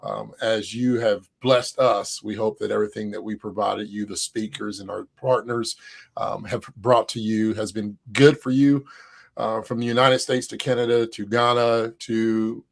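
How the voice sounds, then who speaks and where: A man's voice: on the low side, level tone, moderate pace, creaky. A man co-hosting an online HR event over a video call, in an upbeat, joking, casual manner with frequent fillers. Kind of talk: casual livestream chat.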